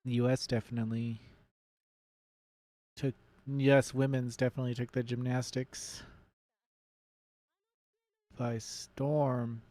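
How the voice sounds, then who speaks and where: A man's voice: medium pitch, flat delivery, slow, long pauses, warm tone, no room sound. Casual, rambling male game streamer, dry sound. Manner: rambling, thinks aloud and swears casually; self-described nerdy enthusiast. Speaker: game streamer hosting a let's play. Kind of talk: casual live gaming commentary and chat.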